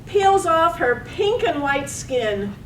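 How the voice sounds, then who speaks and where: A woman narrates a poetic radio play in measured phrases. Her voice is high and slow. She is heard from some distance in an echoey room with faint noise. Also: big pitch swings.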